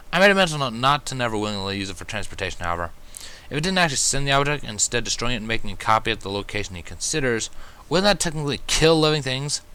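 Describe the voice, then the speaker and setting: Male narrator, first-person fan fiction, expressive, bright tone, very varied pitch. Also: clear, resonant tone.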